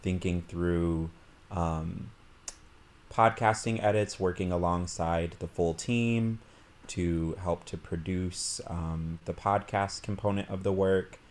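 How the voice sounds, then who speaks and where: A man from Illinois explains his media role in a calm, thoughtful introduction. His voice is very low, and he talks in a measured, earnest way with a few pauses. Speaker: digital media producer. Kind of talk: casual group introduction.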